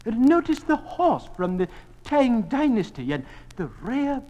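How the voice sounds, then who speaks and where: A man's voice: very high-pitched, very animated, a little slow, dark tone, highs cut off, heavily acted. An actor playing a timid, genteel, flustered male museum curator in a radio drama, hesitant and stammering. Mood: eager, flustered. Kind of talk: 1950s radio drama acting.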